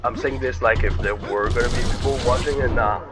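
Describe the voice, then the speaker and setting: A man whose first language is not English, giving rambling, excitable commentary on his own gameplay and swearing often. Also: medium pitch, brisk, very noisy. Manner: excitable, swears often, yelps when hit. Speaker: gamer and video creator. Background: loud music and game gunfire.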